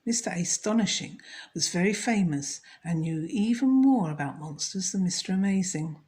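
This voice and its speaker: A woman storyteller narrating a children's story in a measured, scripted read. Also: on the low side, animated, darkish tone.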